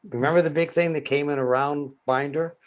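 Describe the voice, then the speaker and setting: An older man leading a workshop, answering questions on a video call in a measured, explanatory way with soft chuckles. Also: a little high, fairly even intonation, a little hiss or hum. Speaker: workshop presenter and psychotherapy trainer.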